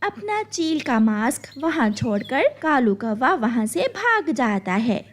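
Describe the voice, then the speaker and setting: A woman's voice: high voice, sing-song, slow. A woman reading a scripted children's cartoon story as narrator.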